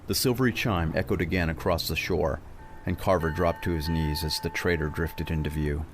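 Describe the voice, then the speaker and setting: A man's voice: rumbling low, fairly even intonation, moderate pace, slight background noise. A man narrating a dramatic scripted adventure story as a storyteller.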